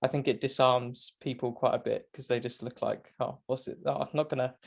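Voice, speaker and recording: A man's voice: mid-range voice, steady tone, a little fast, vocal fry. British male, twenties, mid-range voice, talkative podcast guest with a casual, self-effacing delivery. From Great Britain. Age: 20s. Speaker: guest, motorcycle racing commentator. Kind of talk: casual chat.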